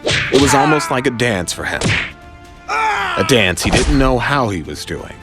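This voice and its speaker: A man's voice: medium pitch, huge pitch swings, unhurried, over loud music. A man delivering dramatic scripted narration as the narrator of an audio story.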